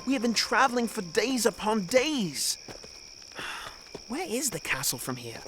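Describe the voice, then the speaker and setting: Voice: medium pitch, sing-song, unhurried. An actor playing a haughty, weary young royal boy in an audio drama, with formal, precious phrasing. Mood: puzzled, weary. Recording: clearly noisy track with outdoor ambience.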